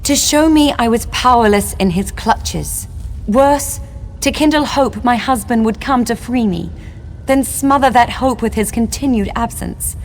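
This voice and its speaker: An actress playing a long-imprisoned elven wife in a fantasy game, speaking formally and solemnly, with bitterness and longing. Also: female voice, a little high, measured pace, a little hiss or hum, low-quality recording. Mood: bitter. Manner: formal, archaic, solemn phrasing. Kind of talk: scripted fantasy game dialogue.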